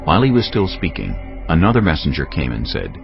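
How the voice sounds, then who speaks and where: A man narrating scripture in a grave, measured way. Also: extremely low pitch, huge pitch swings, gravelly. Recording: dull lo-fi recording. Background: cinematic music.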